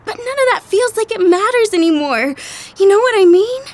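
A woman's voice: extremely high pitch, expressive, brisk. A woman playing an eerily carefree, giggly young woman in a comedic horror audio drama, speaking in a breezy, upbeat way. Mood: blithely cheerful.

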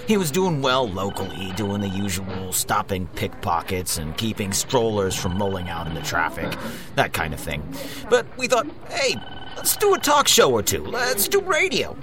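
A man's voice: lowish voice, wildly swooping pitch, normal speed, crowd noise. An actor playing a breezy show-business agent and publicist giving salesman patter in a mock documentary. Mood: breezy pitching. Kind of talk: mockumentary interview in a comedic audio drama.

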